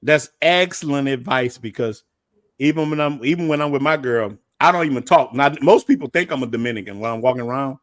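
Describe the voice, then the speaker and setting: A man from the United States hosting a relaxed chat, asking his guests questions and drifting through fillers as he talks. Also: mid-range voice, brisk, dry recording, clean studio sound. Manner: laid-back, asks guests questions, trails off with fillers. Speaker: show host. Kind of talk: casual livestream chat.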